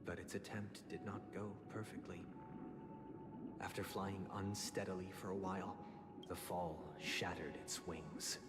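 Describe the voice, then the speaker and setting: An actor playing a composed, solemn dignitary telling stories in a formal, deliberate way in a video game. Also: male voice, low voice, level tone. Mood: mournful, regretful. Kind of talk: scripted game dialogue, measured narration. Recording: long reverb, loud music. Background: loud game music.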